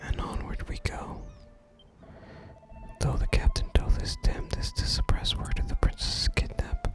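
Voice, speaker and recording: A person whispering softly through an ASMR video game playthrough, commenting and reading text aloud. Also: very high voice, a little slow. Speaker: ASMR gaming creator. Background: game music.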